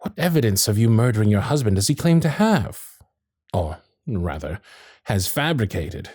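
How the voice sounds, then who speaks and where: A man playing a courteous, protective Victorian gentleman suitor in a romantic period ASMR roleplay, with formal, old-fashioned phrasing and dramatic pauses. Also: on the low side, sing-song, dry recording, clean studio sound. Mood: concerned, intrigued. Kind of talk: romantic period ASMR roleplay, intimate one-sided dialogue.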